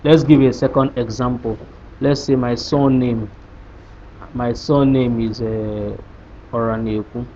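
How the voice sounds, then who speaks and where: A man's voice: mid-range voice, steady tone, a little slow, dark tone. A man teaching a programming lesson, explaining code step by step and asking rhetorical questions as he goes. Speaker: programming tutor. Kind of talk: screen-recorded coding lesson. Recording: dull lo-fi sound with faint hiss.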